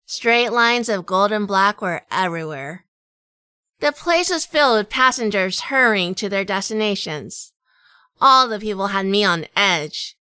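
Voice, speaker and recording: A woman playing a tough, wary noir heroine who narrates her crime story in a crisp, self-possessed way. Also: mid-range voice, bright, forceful tone, dry recording. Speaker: narrator and lead actress of audio drama.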